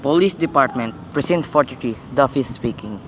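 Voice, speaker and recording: A woman's voice: very deep voice, expressive, a little fast, a little hiss or hum. A woman playing a bored, impassive police desk officer in a radio play, terse and procedural.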